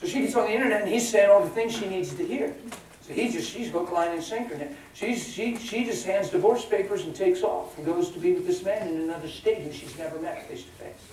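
An older man preaching a sermon in a folksy, animated way, swinging between loud and hushed, in a large indoor arena hall, picked up from far off by the camera. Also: sits high, a little fast. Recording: echoey hall from far off, ambient noise.

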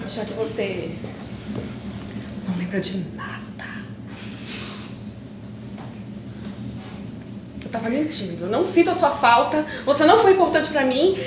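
A young actress with a Brazilian accent playing an emotional woman pleading with a long-lost friend in improvised theatre, speaking haltingly with pauses. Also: high-pitched, animated. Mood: hurt, indignant. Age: young adult. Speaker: stage actress. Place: indoor theatre stage. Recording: roomy, distant, noisy stage recording.

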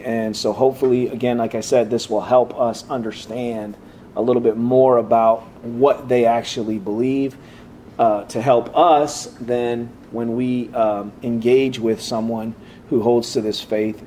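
A man opening a church class with a halting prayer and then teaching, his voice slightly raspy from preaching. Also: mid-range voice, mellow, slight background noise. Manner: halting, many "uh" fillers and restarts.

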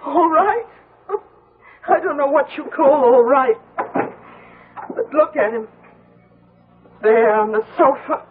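An actress playing a fearful, fierce, superstitious daughter-in-law in a 1970s radio drama, intense and ominous. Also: female voice, sits high, takes it slowly, pauses often, phone-quality sound. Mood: bitter. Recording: band-limited old radio broadcast.

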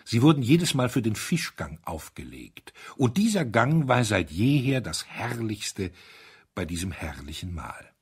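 A man narrating a literary story as an audiobook, in a measured, deliberate way with expressive emphasis. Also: fairly low pitch, slightly rough.

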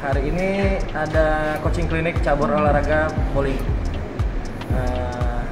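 A man from South Sumatra, a sports official answering interview questions on camera in a large indoor bowling hall, pausing often with "eh". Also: fairly high pitch, steady tone, loud music behind the voice. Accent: Indonesian, from South Sumatra. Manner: hesitant, with frequent "eh" fillers.

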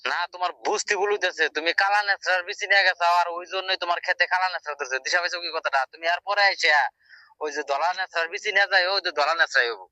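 A man's voice: high voice, racing, pushed and bright, dry recording, rough recording. A male shopkeeper with a rural Bangladeshi dialect, arguing in exasperation over the phone. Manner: exasperated, argumentative. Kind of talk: argumentative phone conversation.

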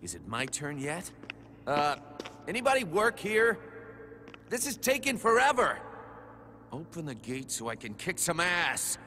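An actor playing a brash, impatient assassin in a video game, talking in a cocky, sarcastic, slangy way. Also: male voice, a little high, huge pitch swings, a little slow, long pauses, noisy background. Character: brash, impatient, foul-mouthed male assassin. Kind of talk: video game cutscene acting.

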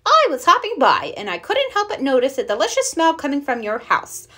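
An eager male storybook rabbit, played by a woman, polite and coaxing. The voice is high and bouncy, with lots of lift and fall. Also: wildly swooping pitch, fairly quick, pushed and bright, full character voice. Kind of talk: children's storybook read-aloud.

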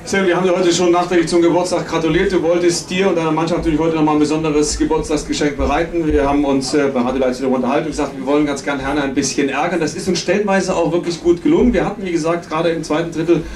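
Higher-voiced man moderating in an echoey room. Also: little pitch movement, quick pace, a little mellow. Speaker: press conference moderator. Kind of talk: hosting a sports press conference. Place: indoor press conference room. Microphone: handheld microphone.

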